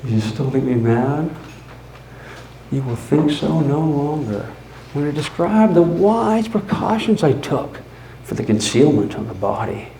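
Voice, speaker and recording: A man playing a boastful, unraveling mad murderer in a theatrical live reading of gothic horror, with dramatic pauses and swings between hushed and frantic. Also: fairly high pitch, animated. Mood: sly, gloating. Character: gleeful, boastful, unraveling mad murderer confessing his crime. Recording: echoey room with background noise.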